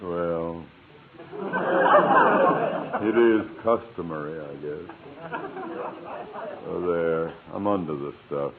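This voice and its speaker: A man's voice: low voice, slow pace, pauses often, over a noisy crowd. Through heavy hiss and a thin, phone-like old radio sound comes a middle-aged male school principal. He is grouchy and pompous, with a stiff, formal way of talking suited to radio comedy. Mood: flustered. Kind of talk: 1950s radio sitcom acting. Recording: tinny, very noisy old radio recording.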